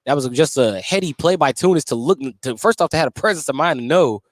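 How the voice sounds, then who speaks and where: An American man doing live play-by-play basketball radio commentary, animated on big plays and conversational in between. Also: on the high side, fast talker, dry recording. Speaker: play-by-play sports announcer. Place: indoor basketball gym during a game. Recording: clearly noisy gym background.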